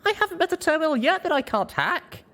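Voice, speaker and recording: A man's voice: extremely high pitch, very animated, quick pace, pushed and bright, voice pushed up, full character voice. A man voicing a plucky young female pony adventurer who is cocky and easily exasperated, in an audio story. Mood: cocky, boastful.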